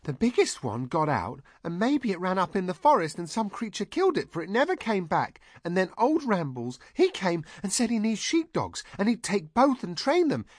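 A man voicing an excitable, simple-minded, childlike woman with shrill exclamations and rustic phrasing in an audiobook. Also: moderate pace, hardly any pauses, no room sound, studio quality, full character voice. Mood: eager chattering. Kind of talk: audiobook character voice.

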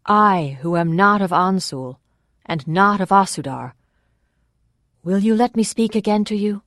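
A woman voicing a solemn male orator in an audiobook, addressing a vast crowd in a ringing, clear, slow and formal declamation. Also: medium pitch, lively intonation, a bit bright, no room sound, clean studio sound, pitched above the performer's own voice. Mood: solemn, urging.

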